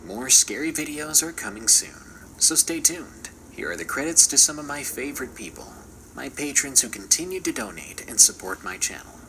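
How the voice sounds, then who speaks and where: A man's voice: medium pitch, very animated, moderate pace, pushed and bright, slight background noise, rough recording. A man doing scripted, theatrical narration for a horror channel in a playful, dramatic manner.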